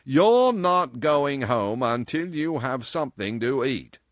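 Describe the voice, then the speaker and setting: A man's voice: a little high, wildly swooping pitch, slow pace, fairly bright tone, no echo, studio quality, pitched above the performer's own voice, exaggerated voice. A man voicing a brusque, commanding businessman who speaks in blunt, emphatic orders but means kindly. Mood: insistent.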